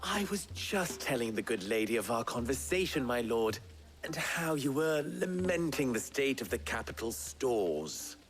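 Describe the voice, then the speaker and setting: An actor playing an eloquent, wry, calculating town schemer in a video game, speaking in florid, measured phrases with dry wit. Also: male voice, medium pitch, sing-song, faint music behind. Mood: courteous, formal. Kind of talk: video game cutscene acting.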